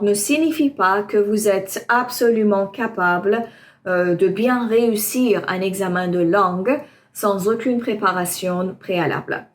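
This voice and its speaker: French tutor woman, halting with ums. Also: medium pitch. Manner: hesitant, many ums, reassuring. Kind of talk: explaining to camera.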